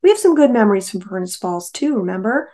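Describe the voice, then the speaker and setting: A woman's voice: mid-range voice, very animated, quick pace, warm tone, close to an everyday voice. Woman reading a hopeful, dreamy best friend. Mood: hopeful, wistful.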